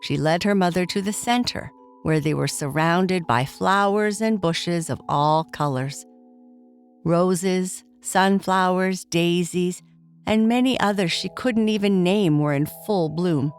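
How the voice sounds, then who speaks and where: A woman's voice: lowish voice, slow, smooth, faint music behind. A woman storyteller narrating a scripted bedtime story for children.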